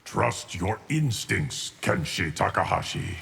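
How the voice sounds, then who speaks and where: An actor playing an ancient, solemn immortal guardian of time, speaking formally and with measure in a fighting game. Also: male voice, sits low, big pitch swings, takes it slowly, gravelly, heavy background noise, full character voice. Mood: solemn, calm. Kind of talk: scripted fighting game dialogue.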